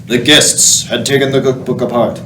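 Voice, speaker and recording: A man's voice: mid-range voice, level tone, moderate pace. A man narrating a scripted radio play. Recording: echoey, distant, noisy.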